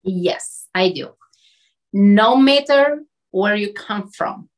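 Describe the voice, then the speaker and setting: A woman's voice: medium pitch, poor audio. A woman from Albania with an Albanian accent, an interview guest and coach giving earnest motivational talk in short emphatic phrases.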